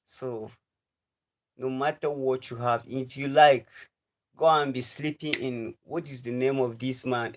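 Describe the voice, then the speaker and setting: Young Nigerian man, twenties, livestream host, earnest and preachy, repeats his points, mid-pitched voice. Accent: Nigerian English. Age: 20s. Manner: earnest, repetitive, lecturing. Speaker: live stream host.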